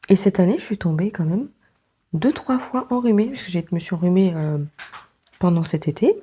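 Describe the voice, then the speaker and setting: A woman's voice: on the low side, expressive, moderate pace, warm tone, husky. A young woman vlogging casually, congested with a sore throat, chatting with many fillers and laughs. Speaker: book vlogger. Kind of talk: casual vlog chat.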